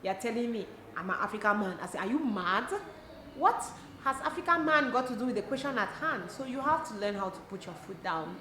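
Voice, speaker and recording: A woman's voice: fairly high pitch, brisk. Cameroonian woman vlogger in an echoey room. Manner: animated, emphatic, conversational, rhetorical questions. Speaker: host of a YouTube channel. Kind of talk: casual advice vlog answering viewer questions. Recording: echoey room, clear background noise.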